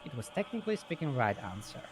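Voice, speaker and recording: A man's voice: medium pitch, sing-song, fast, over music. A man chatting casually and improvising in an online roleplay game, rambling and hesitant, with frequent swearing.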